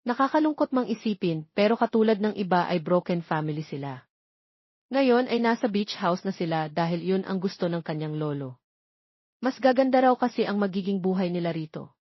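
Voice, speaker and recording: A woman's voice: medium pitch, lively intonation, normal speed, slightly rough, no echo. Filipino woman narrating, dry dull sound. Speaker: story narrator. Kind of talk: scripted romance story narration.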